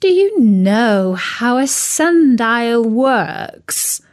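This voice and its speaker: A woman doing a calm, patient dog. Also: on the high side. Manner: calm, slow and explaining.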